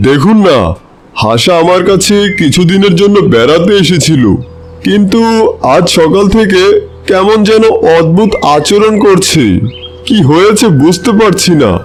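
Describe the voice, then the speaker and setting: A man voicing a worried lion king in a children's cartoon, anxious and halting as he pleads for help. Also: high-pitched, faint music behind.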